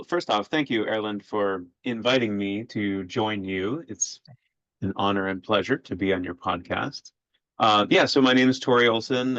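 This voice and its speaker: A man in his forties from Sweden, a history professor speaking as a podcast guest in a measured way with many filler sounds. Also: on the low side, a bit bright, no room sound. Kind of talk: podcast interview. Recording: dull lo-fi audio, dry.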